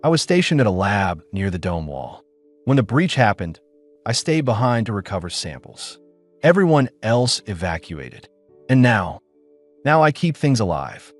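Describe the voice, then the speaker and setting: A man narrating plays a gruff loner mechanic, matter-of-fact and clipped. His low voice swings lively through the lines of this audiobook. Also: moderate pace, faint music behind.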